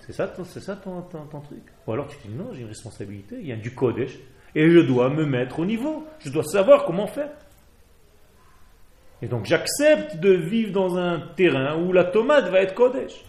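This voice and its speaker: A man's voice: fairly high pitch, very animated, moderate pace, darkish tone, reverberant. A man from Israel with an Israeli accent and Hebrew as his first language, fervently exhorting a religious class. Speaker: rabbi. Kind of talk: Torah class.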